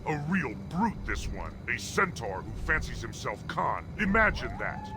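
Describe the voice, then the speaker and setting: Animated hunting guild orc, hearty and sly, big pitch swings, music behind. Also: male voice, a little slow. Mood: gleeful. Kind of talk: video game character dialogue.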